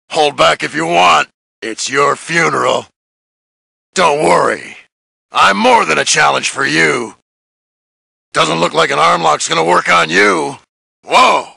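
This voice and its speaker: An actor playing a burly, cocky, tough wrestler brawler in a fighting game, gruff and raspy, with short taunts and battle grunts. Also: male voice, fairly high pitch, sharp tone, no room sound, studio quality, heavily acted.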